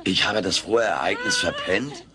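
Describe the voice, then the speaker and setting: An actor playing a cheeky, childlike furry alien with drawling wisecracks in a German sitcom audio drama. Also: male voice, fairly low pitch, expressive, normal speed, a crowd in the background, natural voice. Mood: baffled, indignant. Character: wisecracking furry male alien, over 200, childlike and tender.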